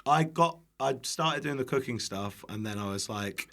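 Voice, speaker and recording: A young man with a British accent and a mid-pitched voice talks as a podcast guest. He rambles casually through anecdotes, cutting himself off and acting out other people's remarks. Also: expressive, moderate pace, vocal fry, bright, forceful tone. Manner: rambling, self-interrupting, acts out quotes. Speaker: podcast guest, online cook.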